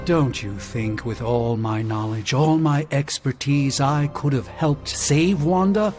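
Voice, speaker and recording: A man's voice: medium pitch, slow, darkish tone, natural voice. A man acting an arrogant, learned sorcerer in a video game, pompous and articulate. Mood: indignant. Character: arrogant, learned male sorcerer with wounded pride. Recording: faint music behind.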